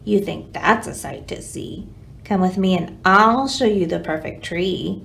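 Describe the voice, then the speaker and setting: A woman's voice: on the low side, a little slow, creaky, fairly bright tone, voice pushed down. A woman voicing a nosy, boastful little squirrel in a children's storybook, showy and inviting. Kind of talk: children's storybook read-aloud.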